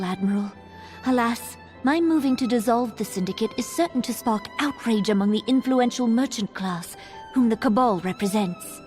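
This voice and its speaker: An actress playing a small, earnest young female ruler in a fantasy game, speaking in measured, formal phrases. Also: a little high, darkish tone, natural voice. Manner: regal, measured, archaic phrasing.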